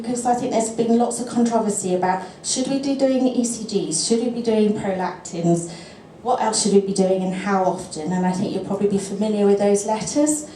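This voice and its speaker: A woman presenting to a teaching session and answering questions, measured and thinking aloud with restarts. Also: mid-range voice, warm tone. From England. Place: lecture room. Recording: roomy lecture-room sound, faint noise.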